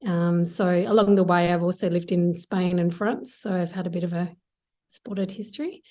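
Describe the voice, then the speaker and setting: A woman's voice: lowish voice, fairly even intonation, normal speed, dark tone. A woman with an Australian accent, a guest on an interview podcast, answering at length in long, listing sentences. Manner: discursive, talks in long lists of clauses. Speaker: guest, marketing executive.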